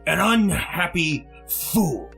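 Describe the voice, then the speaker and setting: Man playing a creaky, pompous old club elder. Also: high-pitched, big pitch swings, slow, bright, forceful tone, faint music behind, heavily acted. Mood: scornful. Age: older. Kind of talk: retro radio drama acting.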